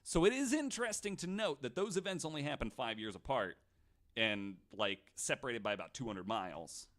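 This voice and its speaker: A man's voice: medium pitch, expressive, fairly quick, a bit bright. An American man hosting a podcast, telling stories and bantering casually, often acting out imagined reactions in quotes. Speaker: podcast host.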